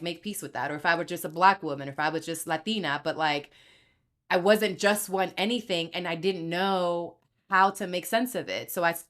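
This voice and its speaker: A young Dominican-born woman, a podcast guest, telling her story in a candid, animated way and acting out the voices she quotes. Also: lowish voice. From Dominican Republic. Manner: animated, acts out quoted voices, laughs easily.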